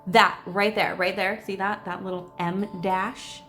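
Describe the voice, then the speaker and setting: A woman doing casual game commentary and reading lines aloud, expressive, blunt and sassy. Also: medium pitch, measured pace, faint music behind. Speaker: video creator reacting to a game.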